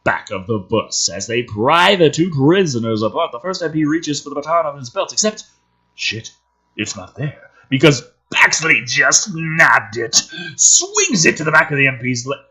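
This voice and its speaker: Animated, raspy man reading a script dramatically. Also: a little high, low-quality recording. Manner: animated, emphatic, theatrical; sweary, sardonic asides. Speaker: host reading and commenting on a film script.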